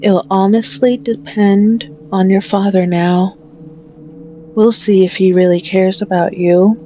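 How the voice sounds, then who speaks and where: A woman's voice: medium pitch, fairly even intonation, warm tone, slight background noise, close to an everyday voice. A woman playing a menacing, dominant mafia boss in an ASMR roleplay, mocking and condescending toward a hostage as she speaks. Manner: slow, mocking, condescending, possessive.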